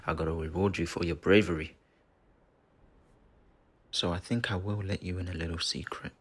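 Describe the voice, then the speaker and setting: A man playing a menacing, mocking dark spirit in a possessed body, gravelly and husky, in a theatrical fantasy audio roleplay. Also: sits low, full character voice. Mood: sardonic taunting. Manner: theatrical, taunting, deliberate with dramatic pauses.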